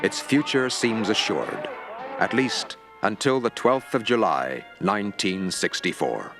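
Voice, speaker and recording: A man's voice: fairly low pitch, big pitch swings, measured pace, gravelly. A man narrating a mock documentary in a grave, dramatic tone. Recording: music underneath.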